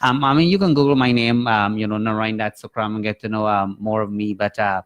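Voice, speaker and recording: A man's voice: fairly low pitch, steady tone, fairly quick, clear, resonant tone, no room sound, highs cut off. A man from Guyana hosting a radio talk show, chatting in a friendly, rambling way with many fillers. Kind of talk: casual chat. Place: radio studio.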